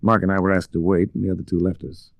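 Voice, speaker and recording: An American actor playing a cool, worldly adventurer with a suave, laconic way, in the style of hard-boiled 1940s radio drama. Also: male voice, deep voice, level tone, quick pace, creaky, no echo. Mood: brooding narration.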